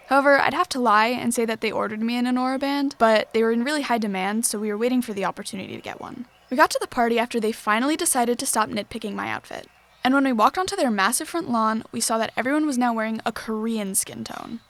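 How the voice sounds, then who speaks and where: A woman acts as a teenage girl activist with a bright-eyed, earnest streak and simmering frustration. Her voice sits fairly high and she rattles along conversationally, like someone recording a private diary for an audio drama. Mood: determined. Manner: conversational, earnest, youthful phrasing.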